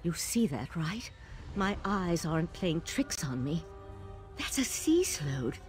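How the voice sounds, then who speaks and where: A wary, well-informed female adventurer from a fantasy game. Her voice sits low and moves slowly, with lively rises and falls as she gravely explains things. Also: faint music behind. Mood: hushed, alarmed. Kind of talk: video game voice acting.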